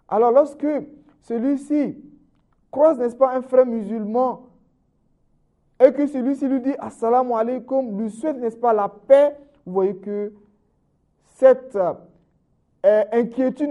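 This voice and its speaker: A man delivers a sermon with a high-pitched but warm, mellow voice. He lifts his phrases loud and high to press each point, then lets them drop low and soft. He keeps inserting "n'est-ce pas" as he goes. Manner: says "n'est-ce pas" constantly, swings from raised emphatic phrases to low trailing ends. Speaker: Islamic preacher.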